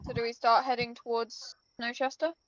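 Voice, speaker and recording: A woman's voice: a little high, flat delivery, moderate pace, a bit bright. A woman chatting casually as a player in a tabletop roleplaying game, on a dull lo-fi microphone. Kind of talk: casual chat.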